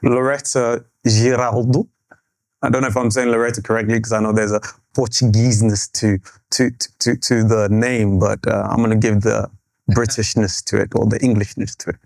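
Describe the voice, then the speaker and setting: Male podcast host, conversational and halting. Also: lowish voice, little pitch movement, vocal fry, a little mellow, no room sound. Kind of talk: relaxed conversational podcast hosting.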